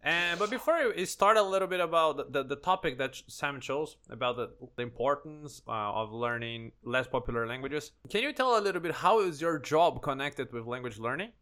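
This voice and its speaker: A man's voice: fairly high pitch, lively intonation, fairly quick, hardly any pauses, bright, forceful tone. A man hosting a podcast, interviewing a guest and asking questions with a few restarts. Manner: asks questions with some restarts. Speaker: podcast host.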